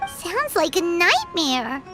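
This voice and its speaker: A woman voicing a tiny, childlike, cheeky fairy companion in a video game, blunt and expressive. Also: very high voice, slow, exaggerated voice. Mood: startled, disapproving. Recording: loud game music behind the voice.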